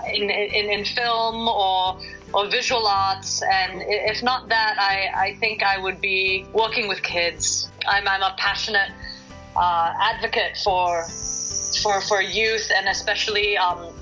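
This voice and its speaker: Bright-voiced woman musician, halting answers. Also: medium pitch, fairly even intonation, muffled recording, soft background music. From Singapore. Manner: thoughtful, hesitant, many fillers and restarts. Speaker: singer-songwriter guest. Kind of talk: casual interview answers.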